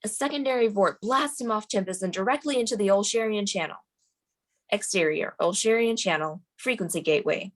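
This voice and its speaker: Woman narrating a screenplay, medium pitch. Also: a little fast. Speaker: narrator reading screen directions. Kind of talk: scripted narration at a table read.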